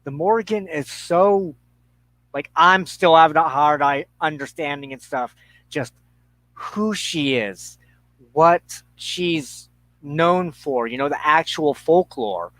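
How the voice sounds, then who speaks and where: A middle-aged man in a reflective conversation, speaking haltingly and thoughtfully with pauses mid-sentence. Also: a little high, a bit bright, no room sound, low-quality recording.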